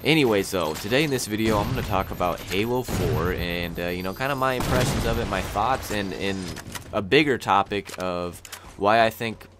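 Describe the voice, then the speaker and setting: A young man hosting a gaming channel, rambling through gameplay commentary in a slightly scratchy, raspy voice with plenty of "um"s and "you know"s. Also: lowish voice, moderate pace. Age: young adult. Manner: rambling, lots of "um" and "you know", thinking aloud. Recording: voice over loud gunfire from gameplay. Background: gameplay gunfire and explosions.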